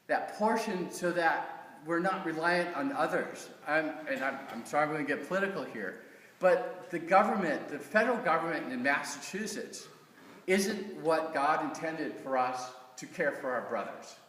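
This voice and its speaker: A middle-aged man with an American accent gives an informal, earnest personal testimony to a congregation in a halting, conversational way. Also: a little high, expressive, fairly bright tone. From Kansas, United States. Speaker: church member giving a stewardship talk. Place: large reverberant room, speaking from off the mic. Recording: echoey church hall, distant mic.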